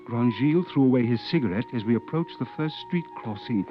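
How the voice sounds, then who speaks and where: A man's voice: mid-range voice, animated, quick pace, natural voice. An actor playing an anxious, exasperated smuggler who tells his own tale in tense, literate 1940s radio drama style. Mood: grim, tense. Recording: dull old radio recording with music.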